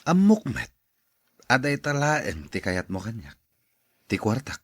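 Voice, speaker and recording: A man's voice: medium pitch, sing-song, a little slow, no room sound, clean studio sound. A man playing an evasive married man in an Ilocano radio drama. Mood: defensive, exasperated. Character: evasive married man, grown son and cheating husband.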